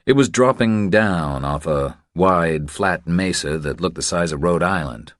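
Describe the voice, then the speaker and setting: Very deep male thriller narrator. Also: measured pace, no echo, studio quality. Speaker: audiobook narrator.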